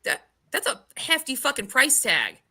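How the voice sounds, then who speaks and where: Woman w a bright punchy voice, opinionated book chat, swears, exasperated questions. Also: high-pitched, wildly swooping pitch, moderate pace, husky. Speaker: book club podcast host. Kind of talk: casual opinionated book discussion.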